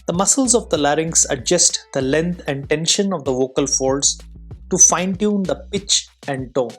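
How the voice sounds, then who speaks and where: An instructor speaks in a mid-range voice over background music. The delivery is formal and measured, explaining each point step by step with careful enunciation. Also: mid-range voice, animated, husky. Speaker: soft skills instructor and public speaker.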